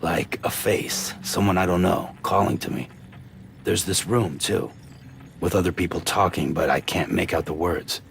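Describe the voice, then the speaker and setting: Very deep gravelly man, terse. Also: steady tone, moderate pace, slight background noise. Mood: guarded, uneasy. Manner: terse, guarded. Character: gruff, weary, reticent male courier. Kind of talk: naturalistic video game cutscene acting.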